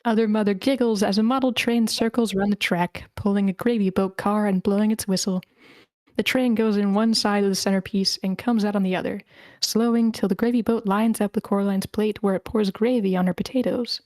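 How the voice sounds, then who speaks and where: A woman's voice: medium pitch, steady tone, a little fast, darkish tone, no room sound. Dry-sounding woman reading stage directions. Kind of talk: scripted narration at a screenplay table read.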